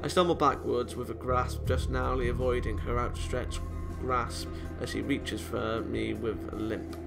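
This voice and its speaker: A man's voice: medium pitch, flat delivery, unhurried, creaky, over music. A man reading visual novel narration aloud expressively, sometimes stumbling and rereading phrases.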